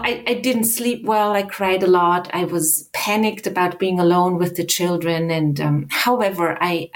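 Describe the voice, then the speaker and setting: A woman from Austria in her thirties speaks in a lowish, measured voice. She tells her own story as a guest, pausing and restarting now and then as she goes. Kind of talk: personal account in an interview.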